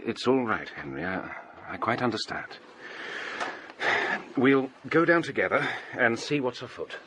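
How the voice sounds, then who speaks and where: An actor playing a self-assured, fussy young gentleman scholar with a British accent and precise manner, in a radio drama. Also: mid-range voice, big pitch swings, unhurried, slight background noise. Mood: calm, rational. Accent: British English. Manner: pedantic, precise.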